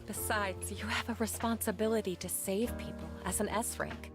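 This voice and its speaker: Woman acting a gentle, caring family member, mid-pitched. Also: steady tone, moderate pace, close to an everyday voice. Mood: tender concern. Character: gentle, caring female relative, accepting and gently worried. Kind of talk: English game dub acting. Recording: music behind the voice.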